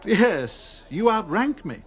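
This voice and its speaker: An actor playing a courteous, earnest aristocrat and army captain in a radio drama, with a breathy voice. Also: male voice, high voice, sing-song, takes it slowly, a faint crowd, full character voice.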